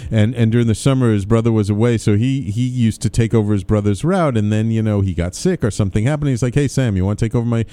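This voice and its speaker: A man's voice: on the low side, fast talker, hardly any pauses, dark tone. A man hosting a radio show in a casual, conversational interview style, chatty and rambling as he talks.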